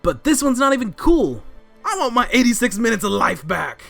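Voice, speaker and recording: A man's voice: very high voice, big pitch swings, moderate pace, creaky, bright, forceful tone. An American man in his thirties co-hosting a scripted countdown, ranting in an exasperated, theatrical way. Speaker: co-host of a countdown show. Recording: music under the voice.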